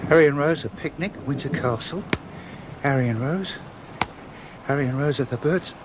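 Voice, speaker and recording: A man's voice: a little high, moderate pace, background noise, close to an everyday voice. An actor playing a terrified middle-aged man, panicked and disbelieving as he faces death. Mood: baffled fear. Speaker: radio drama actor. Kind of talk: 1980s radio drama acting. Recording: rain and thunder loud behind.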